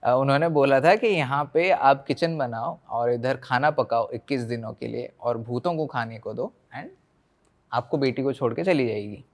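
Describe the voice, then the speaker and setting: A man's voice: mid-range voice, moderate pace. An Indian man, a paranormal investigator, telling stories conversationally as a podcast guest, mixing Hindi and English and acting out reported dialogue.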